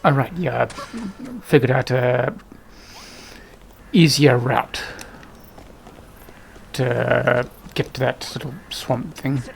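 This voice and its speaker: A man's voice: mid-range voice, takes it slowly, creaky, long pauses, a little hiss or hum, rough recording. A man giving casual, unscripted gameplay commentary, musing to himself as he plays. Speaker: gameplay video host. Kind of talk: casual let's play commentary.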